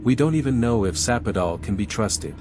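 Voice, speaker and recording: A man playing a steady, guarded adventurer hero in a fantasy video game, speaking in a measured, serious way. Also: mid-range voice, natural voice. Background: music.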